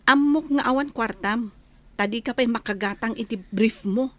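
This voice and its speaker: An actress plays a frustrated young mother in an Ilocano radio drama. Her voice sits very high, and she argues like a wife who has had enough. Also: moderate pace, close to an everyday voice. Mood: insistent.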